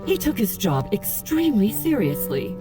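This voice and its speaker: An actress voicing a proud older widow in mourning, curt and formal with sharp, indignant replies, in a video game. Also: female voice, medium pitch, sing-song, normal speed, close to an everyday voice. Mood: guarded. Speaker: grieving widow of a politician. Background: game music.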